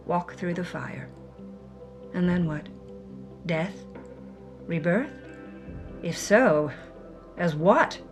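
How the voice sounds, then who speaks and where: A jaded 1950s film actress on the run, telling her story in an audio drama. Her mid-pitched delivery is theatrical, wry and confessional. Music plays behind her. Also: a bit bright. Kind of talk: dramatic first-person monologue.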